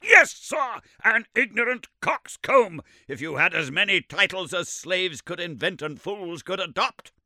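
A man voicing an irritable, sarcastic elderly male professor who scolds in a shrill, indignant voice. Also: very animated, moderate pace, sharp tone, no echo, studio quality. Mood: scornful.

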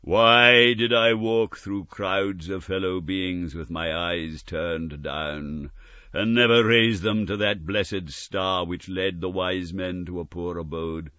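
A man voicing an anguished, solemn male ghost in chains, with slow, drawn-out wailing cries. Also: on the low side, bright, forceful tone, no echo, dull audio, pitched above the performer's own voice. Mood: mournful.

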